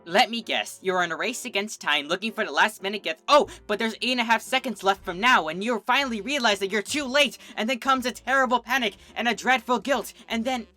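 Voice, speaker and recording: A woman voicing an excitable, party-loving pink pony mare who gushes and bounces through her words. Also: on the high side, brisk, few pauses, faint music behind, pitched above the performer's own voice. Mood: excited.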